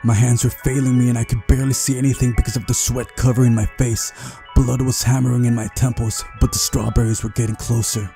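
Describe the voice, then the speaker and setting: A man hosting a game playthrough, reading visual novel narration aloud in a mellow voice. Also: mid-range voice, flat delivery, fast talker, vocal fry, few pauses, soft background music. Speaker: gaming video host.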